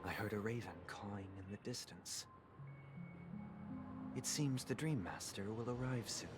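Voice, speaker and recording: A man's voice: lowish voice, a little slow, lightly acted. A man playing a stern, composed and dignified guardian in a video game, speaking in a formal, grave, controlled manner. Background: game music.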